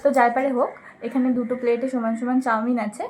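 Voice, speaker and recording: A woman's voice: on the high side, little pitch movement, fast, loud music behind the voice. A woman hosting an eating challenge in casual vlog chat.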